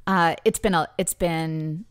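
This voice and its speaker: A woman's voice: on the low side, measured pace, smooth. A woman from British Columbia, speaking as a podcast guest in an earnest, thinking-aloud way with frequent restarts. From British Columbia, Canada. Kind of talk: conversational interview.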